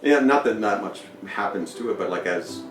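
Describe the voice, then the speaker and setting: Man, very low voice, rambly podcast co-host, far from the mic, echoey room, music playing. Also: animated, normal speed. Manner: rambling, loose, lots of fillers and restarts. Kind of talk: casual chat.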